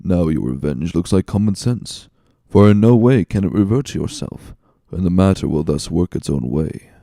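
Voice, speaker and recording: A man's voice: low voice, animated, moderate pace, vocal fry, warm tone, no room sound, clean studio sound. Man playing a sly, calculating villain, swooping delivery. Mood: smug. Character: scheming, smooth, calculating male villain.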